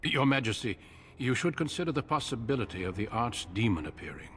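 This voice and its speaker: An actor playing a calm, grave older warrior leader in a video game, speaking in a measured, formal manner. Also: male voice, medium pitch.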